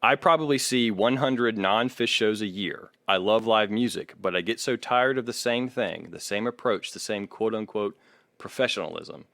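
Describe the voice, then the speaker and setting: Man, lowish pitch, dry recording, podcast co-host, casual, opinionated, reading aloud and commenting. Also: moderate pace, vocal fry, fairly bright tone, no echo.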